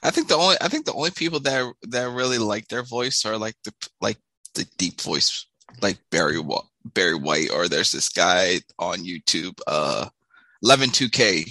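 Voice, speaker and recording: Gravelly, nasal man with a bright edge. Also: on the low side, expressive, no room sound. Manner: halting, restarts phrases. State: voice still recovering from shouting. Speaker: podcast co-host. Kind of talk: casual podcast chat.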